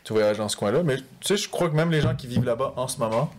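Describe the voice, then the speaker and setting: A man's voice: mid-range voice, expressive, fast, noisy background. A young man from France, a podcast guest chatting casually and thinking aloud with plenty of fillers. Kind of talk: casual chat.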